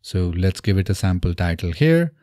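A man in his thirties narrating a software tutorial step by step, in an instructional way, close to the microphone. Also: low-pitched, very animated, normal speed, no echo. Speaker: tutorial presenter. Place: small indoor home studio. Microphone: close desk microphone on a boom arm.

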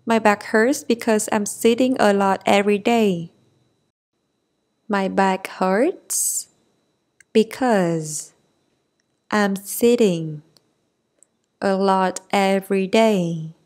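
A woman's voice: mid-range voice, takes it slowly, pauses often, darkish tone. A woman reading short English phrases for learners. She splits each phrase into chunks and then repeats it whole. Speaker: English teacher.

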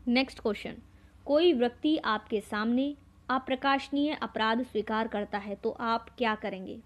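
Female exam-prep tutor, higher-pitched, methodical read-aloud of questions and answers. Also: moderate pace.

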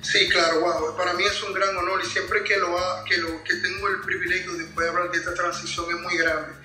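A man's voice: high-pitched, level tone, brisk, pushed and bright. A man in his thirties with a Dominican accent, giving testimony as an interview guest over a video call, often repeating himself as he starts a thought. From Dominican Republic. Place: indoors at home, on a video call. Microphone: tablet video call. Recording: roomy video-call sound with music behind.

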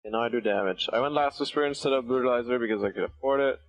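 A young man streams a game on a headset in a small, dry room, his voice plain and mid-pitched. He chats casually, swears when things go wrong, and jokes with a friend on voice chat. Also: rapid-fire, no room sound, poor audio. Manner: swears, thanks subscribers, jokey.

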